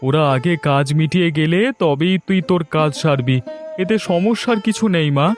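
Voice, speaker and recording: A man voicing a gentle, trusting, middle-aged labourer father in a cartoon folk tale, soothing and patient in manner. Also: on the high side, lively intonation, moderate pace, music in the background, close to an everyday voice.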